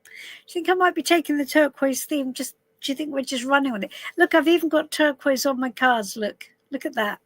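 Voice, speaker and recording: A middle-aged woman from southwest London, her voice a little husky, chatting casually to viewers on a live stream from inside a camper van, calling people darling. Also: high voice, fairly quick, no room sound. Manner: chatty, warm greetings to viewers, calls people darling and love. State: a bit husky voice. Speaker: tarot reader hosting a live stream.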